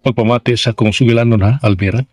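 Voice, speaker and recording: An actor playing a gentle, grieving widowed master of the house in a Filipino radio drama, halting and sentimental, with a Visayan accent. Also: male voice, mid-range voice, steady tone, close to an everyday voice. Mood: grieving, confiding. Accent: Visayan Philippine.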